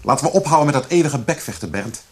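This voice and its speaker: A man's voice: mid-range voice, lively intonation, quick pace, slightly rough. An older brother in a radio drama, anxious and agitated. His male voice sits in the middle range. He comes across as reproachful. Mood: agitated, pleading. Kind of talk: Dutch radio drama acting.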